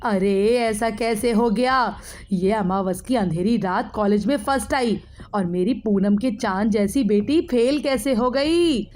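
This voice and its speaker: A woman voicing a spiteful middle-aged aunt who scolds and bosses in a sharp manner, in a moral story. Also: a little high, measured pace, few pauses, natural voice. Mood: indignant, spiteful.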